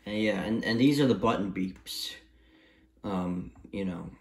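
A man giving a casual, unscripted review in a halting, repetitive and emphatic way, recorded in a room at home. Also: fairly low pitch. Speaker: vlogger reviewing gadgets. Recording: roomy, echoey home recording.

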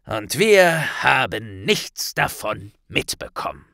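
Audiobook wizard statesman, acted by a man: kindly but grave, firm authority, animated pitch. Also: no room sound, studio quality, full character voice. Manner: serious, authoritative, deliberate. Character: grave, kindly male minister of magic, battle-worn. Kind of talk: audiobook character dialogue.

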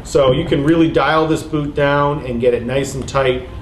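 A man's voice: medium pitch, steady tone, moderate pace. A man in his forties, a shop owner, enthusiastically explaining a product's features in a review. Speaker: shop owner presenting gear. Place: ski boot shop, indoors. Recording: echoey shop room, faint hiss.

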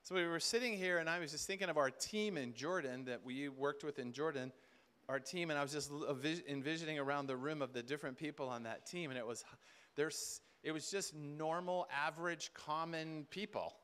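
A man's voice: a little high, fairly quick, a bit bright, clean tone. A middle-aged man speaking to a conference audience in an informal sermon style, talking conversationally, repeating words and acting out bits of dialogue. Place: large indoor hall with seated audience. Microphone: far camera at back of room.

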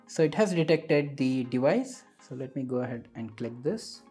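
A man narrates a tech product review in a mellow, slightly rough voice. His delivery is that of a scripted explainer. Faint music plays behind him. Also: medium pitch, animated, normal speed.

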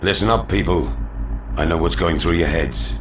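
Man playing gruff game military commander, extremely deep, resolute, authoritative, roomy sound with music behind. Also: close to an everyday voice. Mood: rallying. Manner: authoritative, measured. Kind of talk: video game cutscene acting.

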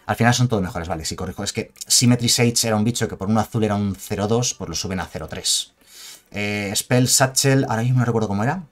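Chatty Spanish-speaking man on a headset. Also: on the low side. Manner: chatty, reads card names slowly, asks for agreement with ¿vale?. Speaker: gaming channel host. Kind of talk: casual news commentary to camera. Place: small room at home.

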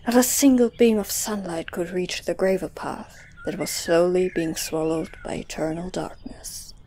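Mellow woman narrating a dramatic story. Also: on the low side, steady tone, normal speed, a little hiss or hum.

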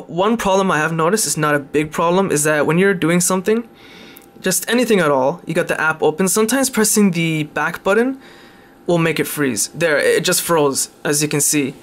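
A man's voice: a little high, fairly bright tone. A man giving a casual, unscripted tech demonstration in an informal, hesitant way, often correcting himself. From Canada. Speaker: tech video presenter.

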